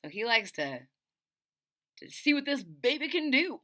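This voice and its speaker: Female storyteller, middle-aged, for kids' picture-book read-aloud: animated pitch, bright projected tone, dramatic emphasis, explanatory asides. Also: a little slow. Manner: expressive, explains to young listeners, dramatic emphasis. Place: small indoor room at home.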